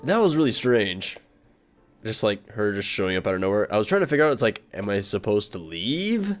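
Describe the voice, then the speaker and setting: A man's voice: lowish voice, big pitch swings, a little fast, soft background music. A man giving casual, rambling game commentary with incredulous exclamations. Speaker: let's player.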